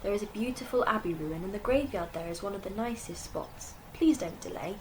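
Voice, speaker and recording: A woman's voice: medium pitch, lively intonation, fairly quick, close to an everyday voice. A woman playing a genteel, sociable young lady in a radio drama, chatting with admiring affection. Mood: affectionate. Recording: noisy recording with birdsong.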